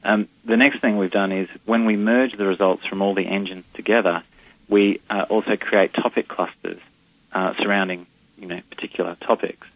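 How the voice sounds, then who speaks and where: A man's voice: fairly low pitch, steady tone, normal speed. A man with an Australian accent, a company CEO interviewed over the phone on a radio show, talking in a hesitant way with many fillers and restarts. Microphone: telephone line. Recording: muffled lo-fi phone line.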